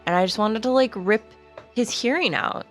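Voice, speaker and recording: A woman's voice: a little high, normal speed, fairly bright tone. A woman playing a tabletop roleplaying game, chatting in character in a casual, hesitant way peppered with filler words. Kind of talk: casual in-character improvised chat. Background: background music.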